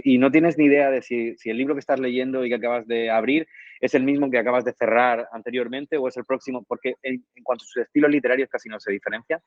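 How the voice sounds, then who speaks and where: A man answering radio interview questions in a reflective way, often pausing with fillers and tag questions. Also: mid-range voice, no room sound, dull audio. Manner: thoughtful, frequent "eh" and tag questions. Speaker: guest, reading promoter.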